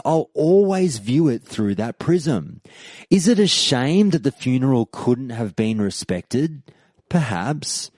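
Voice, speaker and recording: A man hosting a podcast and giving his opinion in a measured, deliberate monologue with firm emphasis. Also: medium pitch, a little mellow, no echo. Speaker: podcast host.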